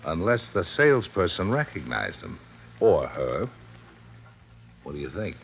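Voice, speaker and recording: Casting: blunt American police captain, deep animated voice, skeptical, dull old radio recording. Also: male voice, unhurried, creaky, slight background noise, exaggerated voice. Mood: probing. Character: gruff, skeptical middle-aged police captain. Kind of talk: 1950s radio drama acting.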